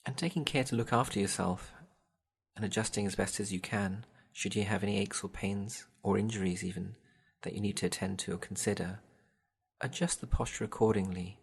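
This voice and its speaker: A man guiding a meditation in a gentle, soothing, measured way, leaving long pauses between instructions. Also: on the low side, steady tone, creaky, slightly rough. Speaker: meditation guide. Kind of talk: guided body scan meditation.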